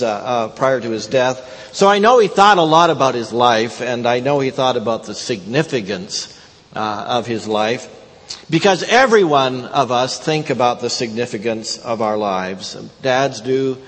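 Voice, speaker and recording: A male pastor, a native Korean speaker, delivering a sermon in halting English, full of hesitations and restarts. His voice sits at a middling pitch and rings with a long reverb from the church hall. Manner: halting, many uh fillers and repeated words.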